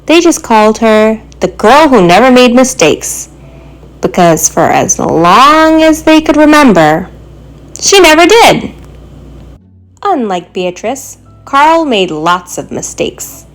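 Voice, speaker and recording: Female storybook reader for young children; slow, highly expressive delivery over soft music. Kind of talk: expressive children's bedtime story read-aloud.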